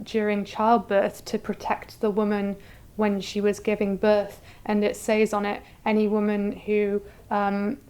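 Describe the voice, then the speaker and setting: A woman's voice: medium pitch, warm tone, clean tone. A woman giving articulate, informative interview answers as an exhibition curator. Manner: articulate, explanatory.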